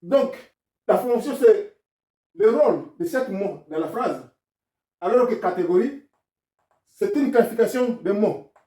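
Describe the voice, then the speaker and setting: A man with a higher-than-usual voice speaks French with a non-native accent, setting out a grammar lesson point by point. He sounds insistent and argumentative as he explains. The recording is roomy and echoey, with the mic set back from him. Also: expressive, a little slow, pauses often, warm tone, distant mic. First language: not French. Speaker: self-taught grammar commentator.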